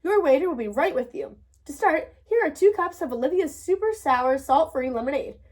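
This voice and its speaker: Lady doing a bossy theatrical little girl from a storybook, high voice, super bouncy. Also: lively intonation, moderate pace, a little mellow. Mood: welcoming. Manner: emphatic, showy, sing-song announcing. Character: confident, bossy, theatrical young girl running a lemonade stand. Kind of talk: children's storybook read-aloud.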